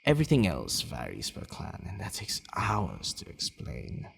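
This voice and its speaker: Man acting a deep, slow-talking vampire lord. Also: huge pitch swings, fairly bright tone, full character voice. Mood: calm, explanatory. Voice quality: low, gravelly. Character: possessive, aloof yet softening male vampire lord. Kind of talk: ASMR roleplay audio drama. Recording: long reverb, faint background noise.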